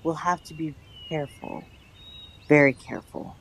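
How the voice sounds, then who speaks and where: A woman's voice: low-pitched, very animated, normal speed, creaky, slightly rough, heavily acted. A woman playing a bold, pragmatic young woman and secretive lover in a radio drama, speaking in endearments. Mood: frank, conspiratorial. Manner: endearing, frequently says darling.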